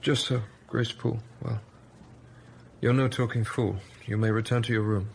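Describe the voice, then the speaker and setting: An actor playing a brusque, brooding master of a gothic manor in a period radio drama, gravelly and commanding. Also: male voice, medium pitch, little pitch movement, normal speed, a little hiss or hum, close to an everyday voice. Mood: guarded. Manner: commanding, abrupt.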